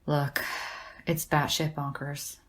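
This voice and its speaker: Sardonic academic woman, low pitch, dry, blunt, deadpan, audio drama. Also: slow. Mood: exasperated.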